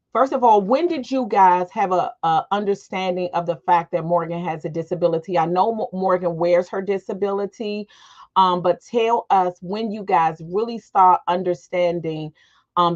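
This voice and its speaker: A middle-aged American woman hosting an informal panel discussion. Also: lowish voice. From Washington DC area, United States. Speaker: host. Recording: dull, lo-fi call audio.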